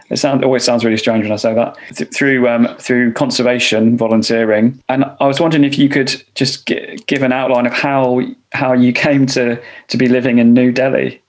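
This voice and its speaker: A man hosting a podcast interview, asking questions in a measured way. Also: mid-range voice, little pitch movement. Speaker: podcast host.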